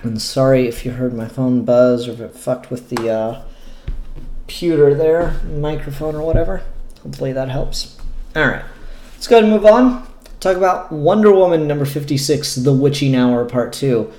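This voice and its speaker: A man's voice: medium pitch, moderate pace, darkish tone. Echoey-sounding man reviewing comics, candid and rambling. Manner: candid, rambling, casually sweary. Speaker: comic book reviewer, show host. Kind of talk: casual unscripted review vlog. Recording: echoey room.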